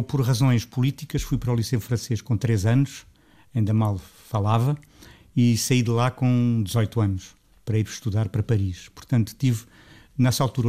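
A middle-aged man from Lisbon with a Lisbon Portuguese accent, talking as a composer in a radio interview. He is thoughtful and often restarts his phrases. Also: fairly quick. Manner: thoughtful, restarts phrases, rises in pitch when animated. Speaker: composer guest.

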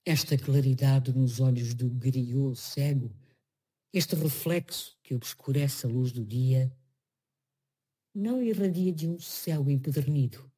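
An actress reading a poem aloud in a grave, measured recitation. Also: female voice, extremely low pitch, warm tone, slightly rough. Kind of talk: slow poetry recitation.

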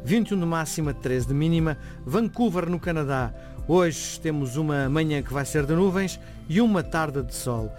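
A man's voice: on the high side, expressive, normal speed, darkish tone, soft background music. A man with a European Portuguese accent, hosting a radio interview and reading the weather in a conversational way. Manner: conversational, probing questions. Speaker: radio host.

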